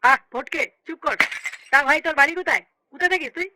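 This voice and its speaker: A performer voicing a bossy, swearing village gang leader in a comedy cartoon, with a pitched-up voice and crude delivery. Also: quick pace, noisy background. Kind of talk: comic cartoon dialogue with slangy swearing.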